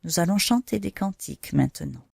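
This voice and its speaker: A woman's voice: lowish voice, lively intonation, brisk, creaky, darkish tone, breathy edge, no room sound, clean studio sound. A woman storyteller narrating Bible stories for children in simple, didactic language, speaking directly to the young listener.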